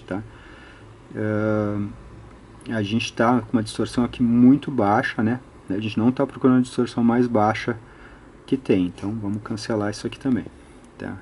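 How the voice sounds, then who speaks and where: A man's voice: fairly low pitch, darkish tone, slight background noise. A Brazilian man giving an unscripted technical tutorial, methodically thinking aloud and often adding short tag questions. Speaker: electronics hobbyist presenter.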